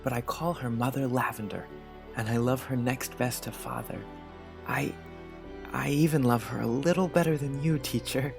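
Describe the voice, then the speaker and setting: Man playing an earnest, gravelly-edged young teen boy. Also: mid-range voice, steady tone, moderate pace, natural voice. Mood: tender, earnest. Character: earnest, affectionate thirteen-year-old boy, tall and dreamy. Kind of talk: dramatized audiobook character acting. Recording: music bed under the voice.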